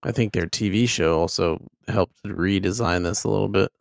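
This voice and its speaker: A man's voice: sits low, normal speed, vocal fry, no echo. A man co-hosting a podcast, talking casually about video games. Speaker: podcast co-host.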